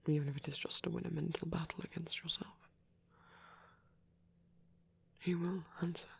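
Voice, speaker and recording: A performer acts out a devout, caring male vampire priest in an intimate ASMR roleplay. His voice is very breathy and often whispered, with a creaky edge. He speaks gently and thoughtfully, in measured, slightly formal phrases at a medium pitch. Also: level tone. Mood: earnest, soothing.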